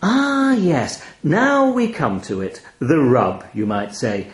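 A man voicing a talking raven in a short story, mock-friendly and smugly menacing, hamming up his pauses. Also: on the high side, wildly swooping pitch, roomy sound, heavily acted. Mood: savouring menace. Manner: mock-friendly, assured, hammy, savouring pauses. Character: menacing, smug talking raven demanding a toll. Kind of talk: dramatised short-story reading.

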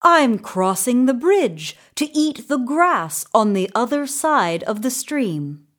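Woman playing an earnest, high-voiced middle-sized billy goat. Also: sing-song, slow pace. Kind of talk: children's storybook character voice.

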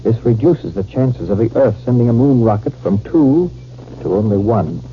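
An actor playing a smooth, duplicitous army captain in a 1950s radio drama. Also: male voice, medium pitch, animated, moderate pace, lightly acted. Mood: cold, conspiratorial. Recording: muffled, hissy 1950s radio recording.

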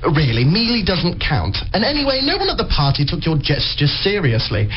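An actor playing a jealous young boyfriend in a radio play, argumentative and dismissive. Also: male voice, fairly high pitch, wildly swooping pitch, brisk. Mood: needling, defensive. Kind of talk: radio drama acting. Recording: dull, noisy, clicking radio recording.

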